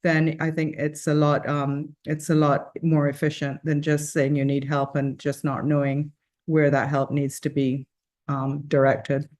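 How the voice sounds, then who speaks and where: A woman's voice: low-pitched, completely flat delivery, measured pace, a little mellow. A woman, speaking on a panel as a charity founder, earnest and rambling with many fillers and restarts. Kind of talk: casual panel discussion.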